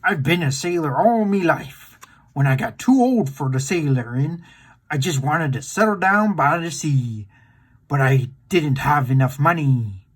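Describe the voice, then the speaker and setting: A man's voice: on the high side, lively intonation, unhurried, breathy edge. A man voicing a wistful, plain-spoken old retired sailor with a folksy seafaring way of talking, for a storybook.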